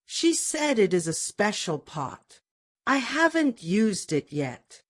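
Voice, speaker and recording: A woman voicing a kind, trusting young bride in a folktale, gentle and easygoing. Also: medium pitch, sing-song, takes it slowly. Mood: relaxed, casual.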